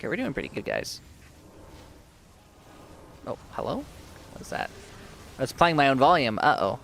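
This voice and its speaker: A man's voice: mid-range voice, wildly swooping pitch, normal speed, vocal fry, fairly bright tone, a little hiss or hum. A man streaming a game live, chatting casually with viewers while his sore throat leaves his voice fading. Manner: chatty, reads and answers viewers.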